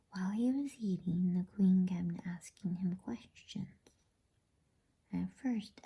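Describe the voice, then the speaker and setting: A woman narrating a bedtime story in ASMR style, whispering in a soft, soothing, unhurried way. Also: mid-range voice, gravelly, slightly rough.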